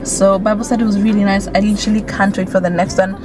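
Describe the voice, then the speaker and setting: A woman's voice: mid-range voice, little pitch movement, quick pace. A young woman with a Kenyan accent talking casually and candidly as she drives, with many fillers. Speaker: vlogger. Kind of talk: casual chat while driving. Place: in a car on city streets. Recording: loud road noise in a car.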